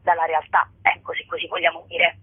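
A woman's voice: lowish voice, completely flat delivery, brisk, slightly rough, dry recording. A woman in her thirties, an author-actress, talking earnestly and at length in a casual interview over the phone. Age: 30s. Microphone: telephone. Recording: dry, narrow phone line.

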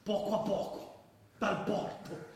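An actor playing an excitable football ultra in a radio drama, recounting an away trip in vivid, emphatic, colloquial speech. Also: male voice, sits high, takes it slowly. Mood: excited recounting. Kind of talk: theatrical radio drama storytelling. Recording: very echoey, noisy with clicks.

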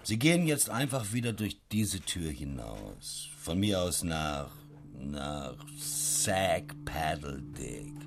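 An actor playing a gruff male office boss who talks down to a visitor with a sneer. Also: rumbling low, very animated, takes it slowly, few pauses, noisy background, heavily acted. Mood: mocking. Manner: sneering, condescending. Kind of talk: radio drama acting.

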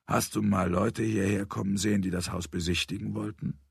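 A man voicing a calm, stern man in an audiobook, putting quiet, measured, probing questions. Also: lowish voice, vocal fry, breathy edge, no room sound, studio quality, heavily acted. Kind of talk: audiobook character voice.

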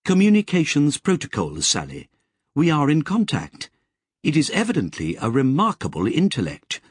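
A man voicing a precise, curious artificial intelligence, speaking crisply and formally. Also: fairly high pitch, dry recording, pitched above the performer's own voice, exaggerated voice.

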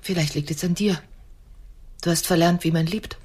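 An actress playing a composed, frank woman who mediates in a radio play, measured and polite. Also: lowish voice, steady tone, darkish tone, breathy edge. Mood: calm, reproving.

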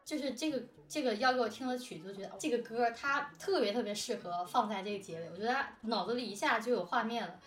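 Talkative woman, slightly high voice, roomy sound. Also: quick pace, few pauses, distant mic, faint music behind. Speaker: audio drama production team member and lyricist. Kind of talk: casual behind-the-scenes chat.

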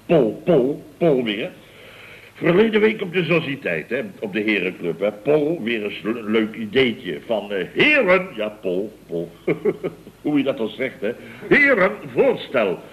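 A man's voice: mid-range voice, big pitch swings, unhurried, dull audio. An actor playing a boastful, self-important male building contractor with a Dutch accent, telling tales in a pompous, stammering, chuckling way in a radio comedy serial. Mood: blustering. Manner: pompous, stammering repetitions, chuckling, mock indignation.

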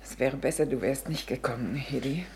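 A woman's voice: rumbling low, brisk, darkish tone, slight background noise. An actress playing an anxious, brittle mother in a German radio play, nervous and defensive. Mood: cold, defensive. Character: anxious, brittle mother of missing small boy.

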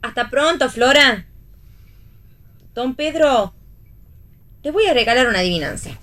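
A woman's voice: sits high, lively intonation, measured pace, fairly bright tone. A woman playing a chirpy, joking family member in a radio play reading. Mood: playful. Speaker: actress in a read-theatre radio play.

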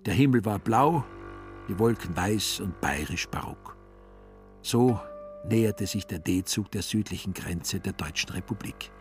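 A man's voice: on the low side, darkish tone. A man narrating a literary radio play as a scripted story.

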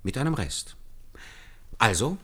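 A man's voice: medium pitch, big pitch swings, a little slow, a bit bright, husky, full character voice. An actor playing an earnest, deferential man who patiently explains hand arithmetic step by step in a German radio play. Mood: patient, assured. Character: earnest, deferential male calculator demonstrating hand arithmetic to superiors.

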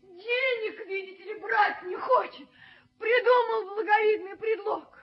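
Very high-voiced, hot-tempered young fiancée. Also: female voice, a little slow. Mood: furious, tearful. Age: young adult. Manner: theatrical, emotional, imperious. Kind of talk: Soviet radio play acting. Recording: dull, muffled 1970s radio recording.

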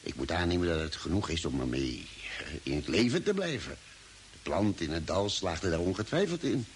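An actor playing an earnest spaceship doctor in an old radio play, explaining deliberately with dramatic pauses. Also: male voice, sits low, big pitch swings. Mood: grave, pondering. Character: earnest spaceship doctor, reasoning out a grim theory. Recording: hissy vintage radio drama recording.

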